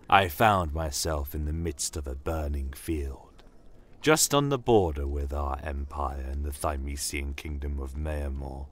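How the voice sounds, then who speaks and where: A man performs a stern fantasy war commander recounting his tale. The voice is very low and slow, formal and brooding, with proud authority in a storytelling style. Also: slightly rough, full character voice. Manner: measured, deliberate, formal, brooding. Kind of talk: first-person fantasy audio storytelling.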